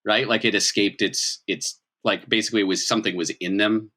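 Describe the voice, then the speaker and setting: A man's voice: on the low side, fairly even intonation, brisk, bright, forceful tone. A man improvising narration as game master of a tabletop game, explaining scenes in a conversational way. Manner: conversational, explanatory, checks in with "right?".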